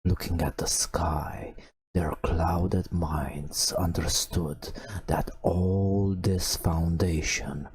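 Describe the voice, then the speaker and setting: A man telling a bedtime story in an ASMR style, in a hushed breathy whisper with drawn-out phrases and long pauses. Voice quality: breathy whisper with creaky low murmur. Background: video game ambience.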